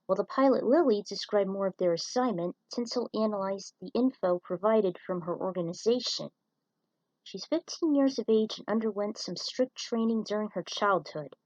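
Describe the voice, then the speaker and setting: A woman narrates a written story in a mid-pitched voice. She reads it out as scripted prose, stumbling now and then, and the sound is dry with very little room in it. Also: normal speed, a little mellow, no echo, dull audio. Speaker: narrator reading fan fiction.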